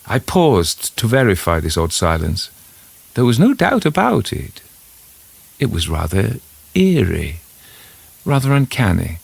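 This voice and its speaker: A man reading a scripted first-person literary story as its narrator, in a measured storytelling manner. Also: lowish voice, huge pitch swings, creaky, slightly rough, dry recording.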